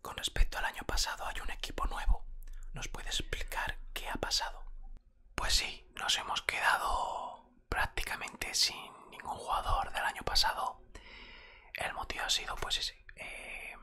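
A middle-aged man with an accent from Spain whispers softly and conversationally into a close microphone, playing a football coach in an ASMR roleplay. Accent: Spanish from Spain. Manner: soft, unhurried, conversational. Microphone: close desktop microphone.

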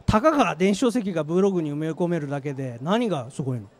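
Animated, high-pitched man presenting. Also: fast. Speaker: company manager presenting a product. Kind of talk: live trade-show presentation with slides. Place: presentation stage at an exhibition venue. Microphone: handheld microphone.

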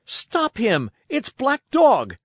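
A man voicing an eager, polite young cabin boy in an audiobook, excitable and respectful. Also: high voice, moderate pace, no echo, clean studio sound, voice pushed up. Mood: alarmed. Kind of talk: audiobook character voice.

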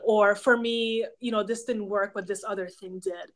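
A woman's voice: medium pitch, fairly even intonation, moderate pace. A woman in her thirties from Hungary with a Hungarian accent, a professor giving thoughtful, example-filled explanations as a guest on a video call from a home office. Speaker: professor of pastoral theology, guest. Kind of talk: casual interview conversation. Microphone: webcam on a video call.

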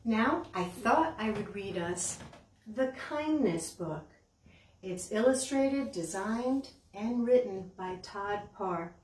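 Slow-paced American storytime woman, roomy sound. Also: mid-range voice, expressive, darkish tone. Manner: simple, encouraging questions addressed to young children. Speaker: children's library storytime host. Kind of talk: children's storytime with puppets, songs and read-aloud. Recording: roomy, distant mic, background hiss.

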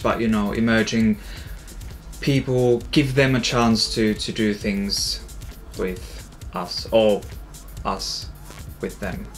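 A young man from Finland with a Finnish accent, answering casually as a designer being interviewed in a small studio. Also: medium pitch. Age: young adult. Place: small studio room with clothing rails, indoors.

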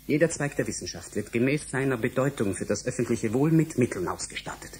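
A man's voice: medium pitch, animated, a little mellow, husky. Formal, cautious young male scientist. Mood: defensive. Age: 20s. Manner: formal, measured, polite. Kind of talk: German radio drama acting.

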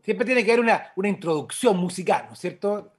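A man with a Chilean accent and a husky voice, improvising a story as narrator on a video call. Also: high voice, expressive, a little fast. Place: indoors at home, on a video call.

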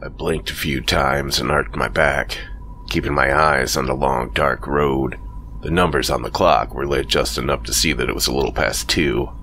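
A man narrating a first-person horror story in a slow, brooding scripted read. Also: extremely low pitch, bright, forceful tone, slight background noise.

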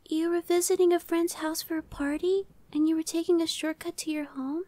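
Woman voicing a very high-pitched timid gargoyle girl. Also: darkish tone, dry recording, close to an everyday voice. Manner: hesitant, stammering, pleading. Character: timid, anxious young female gargoyle, sweet and easily frightened. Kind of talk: ASMR audio roleplay acting.

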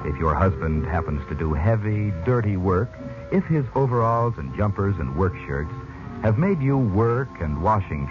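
A man working as a radio announcer and narrator, reading a script in a formal, theatrical vintage style. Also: low-pitched. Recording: muffled old radio recording with music.

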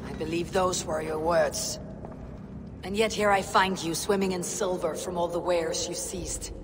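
An actress playing a stern, blunt young Viking warrior woman in a video game, grave and deliberate. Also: fairly low pitch, close to an everyday voice. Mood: cold challenge. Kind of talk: video game cutscene acting.

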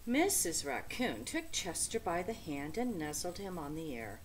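A middle-aged or older woman reading a children's story aloud in an expressive, deliberate way. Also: lowish voice, a little hiss or hum. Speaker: storybook reader. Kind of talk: children's read-aloud narration. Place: small indoor room with bookshelf.

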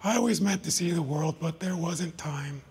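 A man voicing a warm, spirited old woman in a storybook read aloud, wistful and gently teasing. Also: fairly high pitch, normal speed, husky.

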